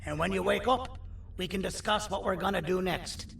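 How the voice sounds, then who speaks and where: High, creaky scheming monster doctor. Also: male voice, normal speed, slight background noise. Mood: hushed, wary. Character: scheming, earnest monster doctor, smooth-talking persuader. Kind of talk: video game character acting. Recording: roomy, distant, under loud scary music.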